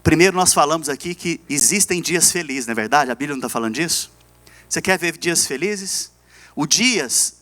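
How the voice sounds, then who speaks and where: A man's voice: fairly high pitch, a bit bright. A man with a Brazilian accent preaching an evangelical sermon as a pastor, emphatic, repeating key phrases and calling on the congregation to respond.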